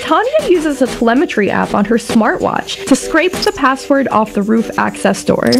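Female narrator, higher register, playful scripted storytelling, music bed underneath. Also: big pitch swings, normal speed, vocal fry. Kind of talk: scripted audio drama narration.

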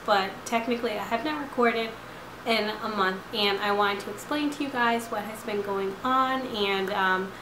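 A woman in her twenties talks straight to a close camera from her couch, chatty and rambling with plenty of fillers. Her voice is slightly hoarse as it recovers. The room sounds roomy, and a clear hiss runs underneath. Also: a little high. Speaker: vlogger. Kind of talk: casual chat to camera. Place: living room couch at night. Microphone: camera at close range.

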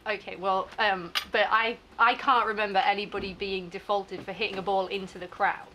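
A woman's voice: medium pitch, fairly even intonation, moderate pace, bright, forceful tone, slight background noise. A woman journalist questioning a player at a press conference, persistent and pressing her point.

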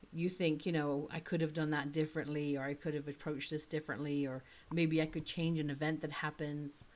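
A woman in her thirties talks into her webcam in a small room, her voice sitting a little low. A faint British accent colours her chatty, wandering commentary, full of asides as she thinks aloud. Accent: slight British. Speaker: author playing a choice game. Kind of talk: casual commentary.

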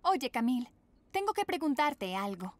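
Earnest, emphatic young cartoon girl, extremely high and animated, over music. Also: normal speed, no echo. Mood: concerned. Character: earnest, indignant young redheaded cartoon girl, loyal friend. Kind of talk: animated children's cartoon dub. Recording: music behind the voice.

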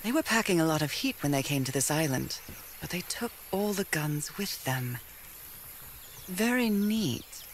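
A woman's voice: deep voice, lively intonation, a little slow, a little hiss or hum. An actress playing a curious 1930s woman explorer in a video game, musing to herself in a thoughtful, wry way. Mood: wry, pondering. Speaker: game protagonist narrator. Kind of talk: scripted musing monologue. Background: background music.

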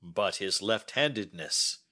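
A man's voice: fairly low pitch, lively intonation, takes it slowly, bright, forceful tone, heavily acted. A man reading as an earnest Victorian doctor who asks his friend short, curious questions. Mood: questioning. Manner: brief, questioning replies.

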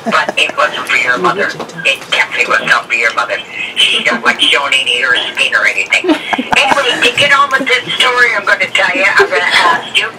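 A woman telling an animated story to friends at an outdoor porch gathering. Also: on the low side, brisk, distant mic, very noisy. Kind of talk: casual storytelling.

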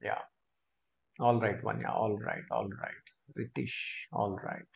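A man's voice: lowish voice, huge pitch swings, takes it slowly, vocal fry. A man in his thirties with an Indian English accent, teaching a live online class from a small room and chatting to viewers by name. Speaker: test prep teacher. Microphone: webcam corner of screen share. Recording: slightly dull lo-fi stream audio.

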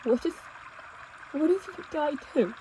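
A woman playing a devoted, anxious young pastor's daughter on the run in an intimate audio drama, speaking tenderly and emotively with halting pauses. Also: high-pitched, expressive, dark tone, slight background noise, low-quality recording. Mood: fearful, pleading. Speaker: audio roleplay performer. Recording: nature ambience with trickling stream water. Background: outdoor ambience sound effects, running water.

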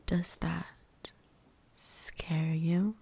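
Slow, breathy vampire huntress played by a woman. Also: on the low side, fairly even intonation, dark tone, exaggerated voice. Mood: predatory, taunting. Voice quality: breathy, often whispered. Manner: slow, teasing, menacing. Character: ancient female vampire huntress, predatory, possessive, seductive. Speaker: voice actress. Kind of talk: ASMR roleplay, close breathy whispering.

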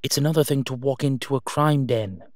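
An exasperated, protective masked vigilante, acted by a man in an audio drama. His mid-pitched male voice is urgent and emphatic, pausing to press each point as he pleads down the phone. The recording is close and dry. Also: a little mellow, no echo, lightly acted. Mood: exasperated, warning. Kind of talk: one-sided phone-call audio roleplay.

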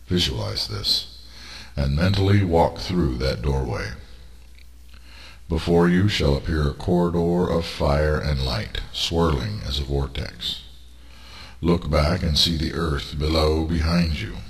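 A man reading a scripted guided meditation as a narrator, in a solemn, deliberate, formal manner. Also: rumbling low. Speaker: narrator of a spiritual training monologue.

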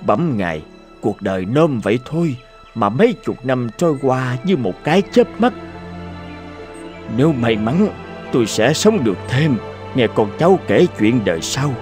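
An actor playing a humble, wise old townsman in a cartoon, speaking in a calm, respectful, measured way. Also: medium pitch, soft background music, natural voice. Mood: reflective. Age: older.

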